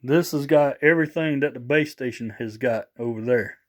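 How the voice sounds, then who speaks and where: A man casually showing off gear for a vlog, rambling and halting as he repeats himself. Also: mid-range voice. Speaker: vlogger showing radio gear. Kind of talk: casual product showcase.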